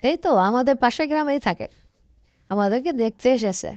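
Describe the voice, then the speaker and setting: A woman's voice: fairly high pitch, animated, a little fast, darkish tone, lo-fi sound, slight background noise, natural voice. A woman voicing a contented, chatty young fox wife in a cartoon story.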